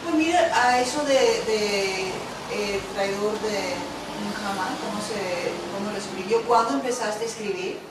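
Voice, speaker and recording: A woman asks short, hesitant questions and keeps prompting with quick "ok" and "ajá". She sits well away from the microphone in a very echoey room, and loud background noise nearly buries her voice. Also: mid-range voice, recorded from a distance. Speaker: interviewer. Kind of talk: casual interview prompting.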